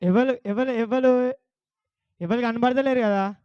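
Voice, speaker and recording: A man's voice: extremely high pitch, fairly even intonation, slow, clean tone, no room sound, dull audio. A man with a Telangana Telugu accent hosting a puppet show, coaxing a child audience with questions. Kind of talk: lively talk to a child audience.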